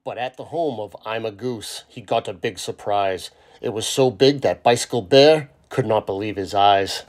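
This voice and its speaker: A man reading a children's picture book aloud in an expressive storytelling style. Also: lowish voice, normal speed.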